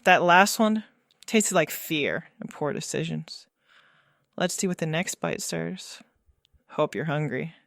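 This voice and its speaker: A man's voice: a little high, animated, slow, gravelly, fairly bright tone, no room sound, studio quality, full character voice. A man playing a sinister horror host who relishes fear in a theatrical story interlude. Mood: menacing relish.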